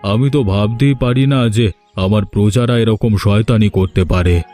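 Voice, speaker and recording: A man's voice: lowish voice, normal speed, mellow, natural voice. A man voicing an ageing, kindly, trusting landlord father in a cartoon, with a weary, troubled manner. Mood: troubled, bewildered. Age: older. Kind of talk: animated cartoon dialogue. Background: background music.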